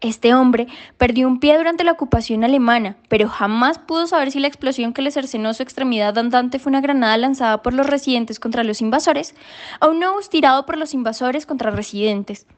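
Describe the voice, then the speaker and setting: High female voice, woman acting solemn youngest daughter, precocious, pedantic, formal wording, radio play monologue. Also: a little fast, close to an everyday voice. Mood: earnest, pedantic. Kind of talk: literary radio drama monologue.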